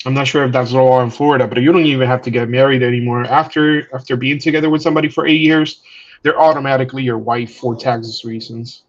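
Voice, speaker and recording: A man with a mid-pitched voice talks casually on a live stream, easygoing and often restarting his phrases. His audio sounds dull and lo-fi. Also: fairly even intonation, fast. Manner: laid-back, repeats and restarts words.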